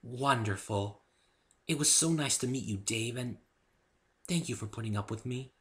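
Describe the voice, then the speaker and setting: A man voicing a meek, gentle young female pony, polite and apologetic, in a dramatic story reading. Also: medium pitch, moderate pace, voice pushed up, natural voice. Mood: warm. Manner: meek, soft-hearted, apologetic.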